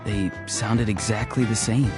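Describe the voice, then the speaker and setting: A man's voice: lowish voice, fairly even intonation, unhurried, music in the background, lightly acted. A man voicing a reserved, reflective young character in a video game, speaking in a subdued way. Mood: melancholy.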